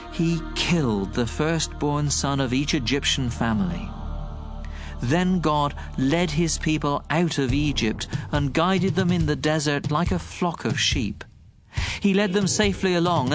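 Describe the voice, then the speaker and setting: Male narrator, fairly high voice, dramatic scripted scripture reading with a clear music bed underneath.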